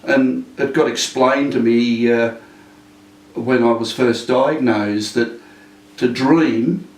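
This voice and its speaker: An older man, a retired police drill instructor, being interviewed in a casual, rambling way with many fillers and restarts and a naturally loud voice. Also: mid-range voice, steady tone, slow pace. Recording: echoey room, mic at a distance.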